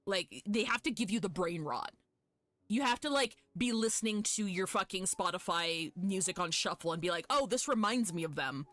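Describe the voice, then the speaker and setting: A woman streaming a game live, chatting casually with viewers in an animated, sweary, fan-like way. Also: medium pitch, normal speed, no room sound, soft background music. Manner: foul-mouthed. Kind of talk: casual chat with viewers while gaming.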